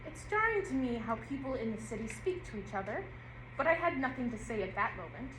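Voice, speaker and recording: A woman actor reading an audio play script at rehearsal in a measured storytelling way. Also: on the high side, big pitch swings. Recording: roomy and noisy rehearsal room.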